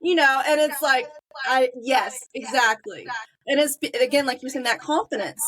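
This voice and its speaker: High-voiced chatty female podcast host. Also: normal speed, a bit bright, a little hiss or hum, rough recording. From Macedonia. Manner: chatty, tells anecdotes with acted quotes. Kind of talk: podcast interview.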